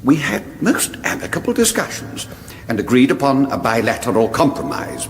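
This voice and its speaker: A man's voice: medium pitch, very animated, normal speed, faint music behind. Fussy old king, wildly swooping voice. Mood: complacent. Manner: dithering, fussy, chiding, mumbling asides. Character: doddering, complacent, oblivious fussy old king and father. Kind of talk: broadcast radio comedy acting. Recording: echoey hall with background noise.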